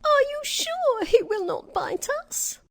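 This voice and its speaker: Storybook mouse queen: tiny, haughty, squeaky, pitched far up, swooping delivery. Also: big pitch swings, slow pace, creaky, heavily acted. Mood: timid. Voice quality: squeaky, little voice. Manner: regal, indignant, exclamatory. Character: tiny proud female field mouse queen, squeaky and excitable.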